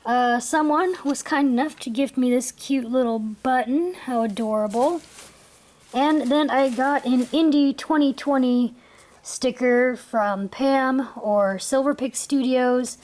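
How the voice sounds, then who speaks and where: Young woman, fairly high voice, worn out and rambly, casual haul vlog. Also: slow, darkish tone. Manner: rambling, giggly, with frequent restarts. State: tired, voice worn out. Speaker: vlogger.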